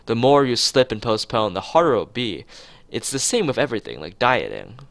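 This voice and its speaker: A man's voice: mid-range voice, sing-song, brisk, vocal fry, a bit bright. A man voicing a stern but cheerful male school nurse in a visual novel, firm and lecturing. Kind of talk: visual novel dialogue read aloud.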